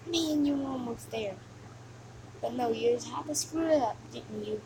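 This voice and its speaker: A woman's voice: on the high side, lightly acted. A woman voicing a mocking, sneering demon who taunts the player in amateur video game voice lines. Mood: bored, exasperated contempt. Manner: taunting, scornful, drawn-out sighing delivery. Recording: noisy amateur home recording.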